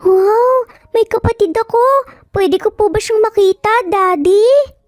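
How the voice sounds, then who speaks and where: A woman's voice: very high-pitched, unhurried, a little mellow, dry recording, studio quality, soft background music, voice pushed up. A woman voicing a sweet, innocent and polite little boy in a dramatic audio story. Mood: excited delight.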